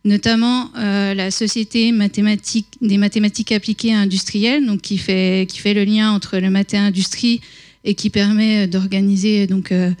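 A woman's voice: medium pitch, little pitch movement, normal speed, darkish tone. A woman organizer introducing a public lecture, hesitant, with many fillers and restarts. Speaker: conference organizer introducing speaker.

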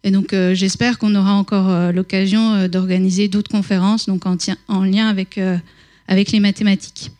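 A woman's voice: mid-range voice, flat delivery, moderate pace, dark tone. A woman organizer introducing a public lecture, hesitant, with many fillers and restarts. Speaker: conference organizer introducing speaker.

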